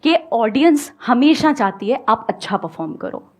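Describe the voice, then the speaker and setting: A young woman speaking on stage, giving calm, coaxing advice to an audience with everyday examples. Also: on the high side, normal speed. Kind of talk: motivational talk and audience Q&A. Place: stage before a live audience.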